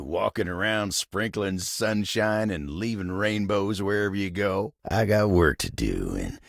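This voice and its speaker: A man voicing a humble, hardworking living table in a video game, with a gravelly, husky Southern American drawl and a folksy manner. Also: very deep voice, no echo, studio quality, exaggerated voice. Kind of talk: video game character voice acting.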